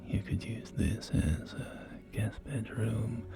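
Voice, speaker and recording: Man playing a whispering, unhurried real estate agent. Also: on the low side. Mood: gentle, accommodating. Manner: soft-spoken, hesitant, unhurried, courteous. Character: polite, helpful wasteland real estate agent showing homes. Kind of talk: ASMR roleplay, whispered sales tour. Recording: close whisper over clear background music. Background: music and game audio.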